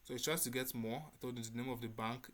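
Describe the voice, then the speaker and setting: Man presenting, fairly flat intonation. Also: medium pitch, fast talker. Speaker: developer presenting a hackathon project. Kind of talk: spoken product demo presentation.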